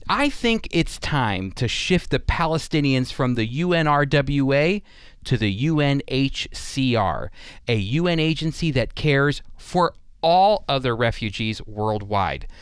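Clear, close-miked American male radio host. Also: medium pitch, big pitch swings, measured pace, no room sound. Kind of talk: radio commentary and interview.

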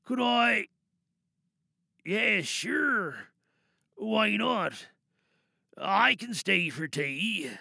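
A man playing a sad, bitter war veteran in an audio drama monologue, wistful and halting as he confesses his grief. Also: high-pitched, animated, vocal fry, pushed and bright, no room sound, studio quality, full character voice. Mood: tender, hesitant.

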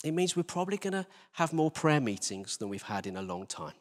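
A man's voice: a little high, big pitch swings, brisk. A British man giving an informal, conversational sermon as a church leader, asking rhetorical questions and repeating phrases for emphasis. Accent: British English. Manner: conversational, self-deprecating, with rhetorical questions and repetition. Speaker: church team leader preaching. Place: large reverberant indoor church space. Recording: live church hall, long reverberation.

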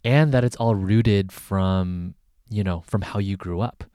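Deep-voiced man hosting an interview, dry sound. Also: clean tone, no room sound. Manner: slow, thoughtful questions, steady affirmations. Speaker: interview host. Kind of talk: podcast interview.

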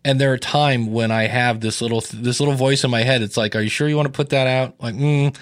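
A man's voice: brisk, no echo. Guy on a podcast rambling through stories, does little voices when quoting folks, dry close sound. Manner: storytelling, animated with rising pitch in quoted lines. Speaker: podcast guest. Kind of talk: casual interview talk.

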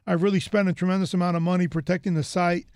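A man's voice: high voice, level tone, fast, a little mellow, smooth, no room sound, studio quality. A man from the United States hosting an interview in a rambling, chatty way, full of fillers and restarts. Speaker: host. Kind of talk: casual interview chat.

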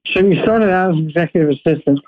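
A man's voice: fairly high pitch, quick pace. A man hosting a radio show, joking and teasing before interviewing his guest. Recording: tinny phone-like dry audio.

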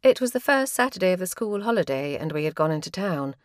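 A woman narrates a short story in the first person. Her voice sits a little low and moves with animated intonation. The recording is very dry. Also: fast, no room sound.

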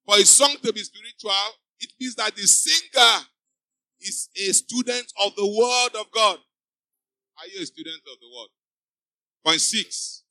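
A man's voice: sits high, a little slow, sharp tone, dry recording, rough recording. A man preaching a sermon to a church congregation. He exhorts the listeners with emphatic, repeating refrains. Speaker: pastor. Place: church before a live congregation.

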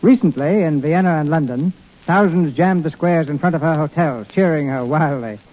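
Male radio theater host, formal scripted narration, theatrical delivery, somewhat high pitch, tinny vintage broadcast. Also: moderate pace. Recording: old 1930s radio broadcast, narrow tinny band.

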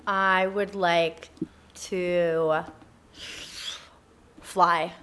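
A woman's voice: on the low side, flat delivery, very slow, fairly bright tone, slight background noise. A woman guest talking casually and playfully in an interview, with an informal, enthusiastic manner.

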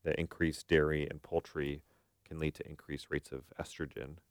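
A man's voice: very deep voice, little pitch movement, normal speed, clear, resonant tone, no room sound, studio quality. A man hosting a podcast in a casual conversational style, thinking aloud and often checking for agreement. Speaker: podcast host.

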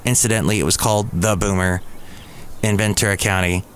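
A man's voice: fairly low pitch, steady tone, fairly quick, slight background noise. Rambling middle-aged male podcast host. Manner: digressive, self-correcting storyteller, chuckles at himself. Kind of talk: casual rambling monologue. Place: café, live podcast. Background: faint café ambience.

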